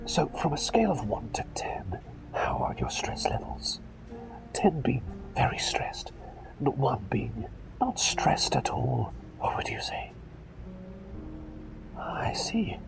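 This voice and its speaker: A man performing a whispered ASMR roleplay as a gentle, eccentric plague doctor, with courteous old-fashioned phrasing and a dithering, repetitive way of talking. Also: mid-range voice, creaky, rough recording. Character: gentle, eccentric, absent-minded male plague doctor, polite and chatty.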